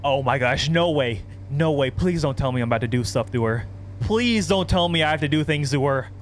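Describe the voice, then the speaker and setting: A man's voice: a little high, expressive, a little fast, a little hiss or hum. A young man giving casual, uneasy commentary as he hosts a horror game playthrough. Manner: uneasy, reluctant reactions. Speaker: gaming video host. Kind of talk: casual let's play commentary.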